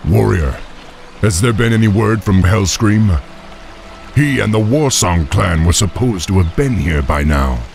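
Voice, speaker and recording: Young orc warlord for a video game, real deep n growly, gruff leader type, earnest. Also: male voice, lively intonation, a little slow, a little mellow, slight background noise. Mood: commanding. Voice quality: deep, gravelly. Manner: gruff, commanding. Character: young male orc war chief, earnest and commanding. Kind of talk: video game cinematic acting.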